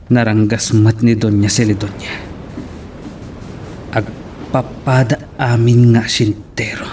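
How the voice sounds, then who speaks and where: A man's voice: on the low side, level tone, takes it slowly. Man acting loyal Ilocano henchman over hissy noise. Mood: brooding, determined. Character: loyal, resolute male henchman serving a young master. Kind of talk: Ilocano radio drama acting. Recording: radio drama with loud hissy noise.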